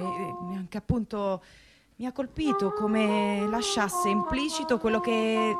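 An actress plays a thoughtful woman giving dramatic testimony about family memory. She speaks haltingly and reflectively, searching for each word. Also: medium pitch, lightly acted. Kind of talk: dramatic staged reading of testimony.

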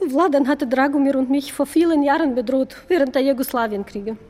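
An actress playing a proud, wounded widow in a radio crime drama, earnest, dignified and reproachful. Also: female voice, high voice, brisk, warm tone, slight background noise, lightly acted. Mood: grave, bitter.

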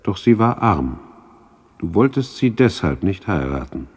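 Reproachful spirit, extremely low pitch, husky, grave admonishing delivery, 1960s radio play. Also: male voice, very animated, unhurried, darkish tone, full character voice. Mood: taunting, reproachful. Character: solemn, reproachful male ghost of Christmas past.

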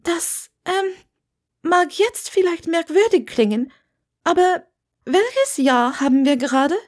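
A woman's voice: extremely high pitch, big pitch swings, takes it slowly, pitched above the performer's own voice, exaggerated voice. A woman voicing a young witch in an audiobook, curious and puzzled, with a hesitant, stammering way of speaking. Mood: hesitant, awkward. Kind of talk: audiobook character dialogue.

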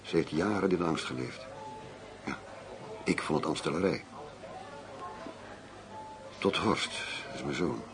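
An actor playing a blunt, world-weary middle-aged undercover detective in a radio drama. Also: male voice, a bass voice, measured pace, long pauses, music in the background. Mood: subdued, confiding. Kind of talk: Dutch radio drama acting. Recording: radio play with clear background hum.

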